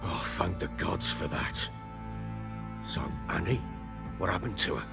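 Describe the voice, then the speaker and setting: An actor playing a gruff, hot-tempered middle-aged British lord in a video game, a blunt and coarse-mouthed husband and father. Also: low-pitched, animated, a little slow, loud music behind the voice, heavily acted. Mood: troubled. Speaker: game character. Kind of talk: dramatic video game voice acting.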